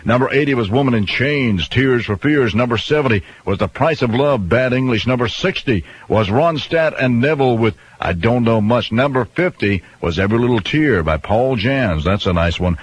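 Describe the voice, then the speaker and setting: A man's voice: mid-range voice, expressive, moderate pace, few pauses, husky, poor audio. A man working as a radio DJ, presenting songs and announcements on an AM station. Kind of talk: AM radio music countdown and announcements. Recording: 1990s AM radio aircheck, music bed.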